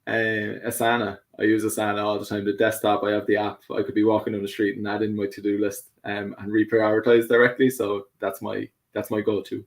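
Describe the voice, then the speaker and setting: A man's voice: on the low side, completely flat delivery, a little fast, hardly any pauses. A man from Ireland with an Irish accent, answering interview questions casually, hesitant and full of fillers, laughing easily. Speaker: guest.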